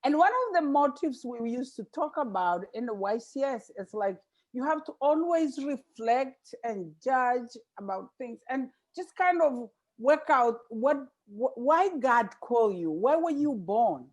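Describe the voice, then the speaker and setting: A woman's voice: a little high, expressive, a little slow. A woman from Tanzania, a missionary sister, talking about her life in an earnest, reflective way on a video call. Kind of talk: casual conversation on a video call.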